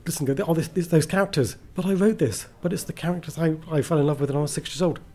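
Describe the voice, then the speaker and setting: A man's voice: a little high, fast talker, warm tone. A man chatting casually as an interview guest, a writer who is enthusiastic, rambling and self-deprecating.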